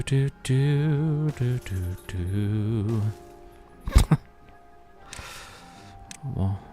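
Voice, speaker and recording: A man's voice: medium pitch, darkish tone. An American man streaming a building game, muttering his moves to himself, humming and joking along. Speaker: gaming streamer. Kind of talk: live game commentary.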